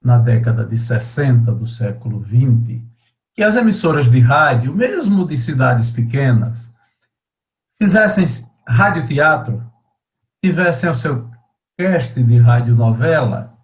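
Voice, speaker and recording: A man introducing a guest as host, speaking formally in deliberate, oratorical phrases with pauses. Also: medium pitch, expressive. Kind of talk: measured, formal introduction to an oral-history interview.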